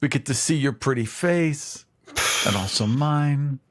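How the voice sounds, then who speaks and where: A man's voice: medium pitch, a little slow. A man co-hosting and editing a podcast, chatting casually, teasing his co-host and laughing often.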